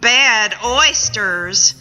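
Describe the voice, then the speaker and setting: A woman's voice: a little high, expressive, drawn-out words, bright, forceful tone, heavily acted. Drawling Southern rookie policewoman. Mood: dismissive. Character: folksy young rookie policewoman, drawling and skeptical. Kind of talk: comic radio play acting. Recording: echoey event hall, music behind.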